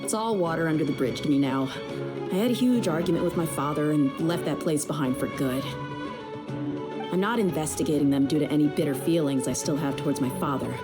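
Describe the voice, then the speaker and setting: A woman playing a tough, easygoing young mercenary in a video game, frank and self-assured. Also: low voice. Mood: reassuring, confident. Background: background music.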